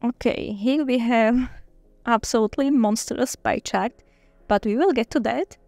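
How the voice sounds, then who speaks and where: Give me a woman chatting slowly and eagerly, with a gravelly edge to her voice. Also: a little high, darkish tone, no room sound. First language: Slovak. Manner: chatty, enthusiastic, with small restarts. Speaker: book vlogger. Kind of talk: casual reading-journal flip-through.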